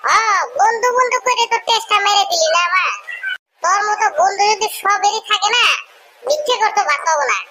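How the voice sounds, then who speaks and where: A man voicing an aggrieved, sarcastic village fisherman in a comic cartoon, with a rural Bengali dialect and a pitch-raised squeaky voice. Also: a little fast. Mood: bitterly aggrieved. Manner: complaining, scolding, sarcastic. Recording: loud background noise.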